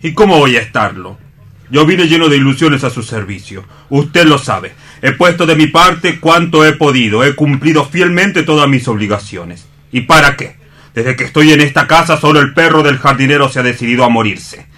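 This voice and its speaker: An actor playing an earnest, disappointed assistant in a radio drama, deferential and comically solemn. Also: male voice, a little high, expressive, normal speed, pushed and bright. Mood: aggrieved. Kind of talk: dramatic staged radio reading.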